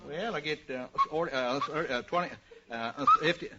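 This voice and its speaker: A man's voice: on the high side, close to an everyday voice. A man with an American accent playing a bumbling, stammering, cheerful country egg peddler in radio comedy. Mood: proud, muddled. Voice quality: stammering, halting delivery. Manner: folksy, tongue-tangled, restarting words. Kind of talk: 1940s radio comedy acting. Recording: muffled, noisy old radio recording.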